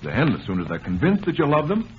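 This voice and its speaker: A man's voice: on the high side, huge pitch swings, fast talker, a little hiss or hum. A cocky schemer in an old radio play, slangy and sure of himself. His voice moves freely up and down on a muffled recording. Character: cocky, bossy small-time schemer lecturing his pal. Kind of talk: 1930s radio comedy acting.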